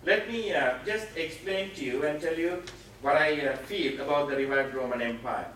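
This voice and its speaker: A middle-aged Sri Lankan man teaches a Bible session in a fairly high voice, with an emphatic, explanatory delivery. He speaks in a very reverberant meeting room, picked up from a distance with some faint noise. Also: moderate pace, fairly bright tone. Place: meeting room with seated audience, indoors. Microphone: far camera, speaker at a mic stand. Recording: very echoey room, distant pickup, noisy.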